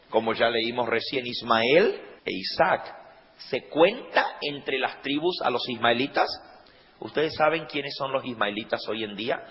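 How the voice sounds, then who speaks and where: Slow-preaching man in an echoing hall. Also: medium pitch. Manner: rising to loud emphatic peaks, asking the congregation questions. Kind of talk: sermon. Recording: reverberant hall.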